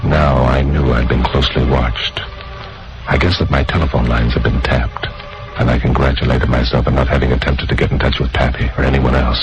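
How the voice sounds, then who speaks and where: A man's voice: very deep voice, flat delivery, brisk, low-quality recording. A man acting a wry, guarded, down-on-his-luck newscaster in an old-time radio drama, with clipped, understated, hardboiled delivery. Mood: tense, reflective. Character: lonesome disgraced male newscaster secretly working undercover, wry and guarded. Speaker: lead actor and first-person narrator. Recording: vintage radio recording with music behind.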